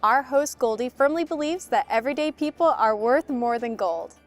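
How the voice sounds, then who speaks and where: Woman announcer, a bit high-pitched, reading a scripted intro, faint music behind. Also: lively intonation, normal speed. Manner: formal read-aloud delivery.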